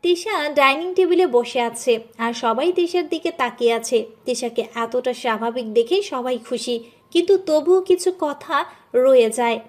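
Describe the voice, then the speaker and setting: High-pitched woman narrating a story. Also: lively intonation, measured pace, a little mellow.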